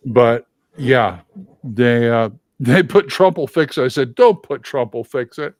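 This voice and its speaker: A man hosting a livestream, chatting casually about tabletop games, opinionated and halting with sudden loud, excited bursts. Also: medium pitch, no echo, clean studio sound. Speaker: livestream host.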